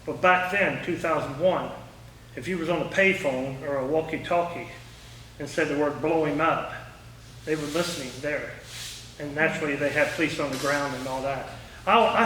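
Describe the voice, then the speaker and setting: Male speaker for an informal talk, folksy storyteller, forceful higher voice, distant in a very echoey room. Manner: folksy, anecdotal. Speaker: guest speaker, former air traffic controller. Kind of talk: informal public talk with question and answer. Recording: echoey room, distant mic, faint noise.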